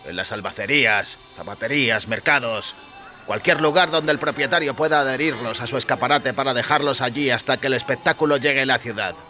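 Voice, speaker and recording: Irritable male circus gatekeeper for audio fiction, played by a male narrator: curt, contemptuous, forceful, pitched up. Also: a little fast, soft background music, lightly acted. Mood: irritated, grudging.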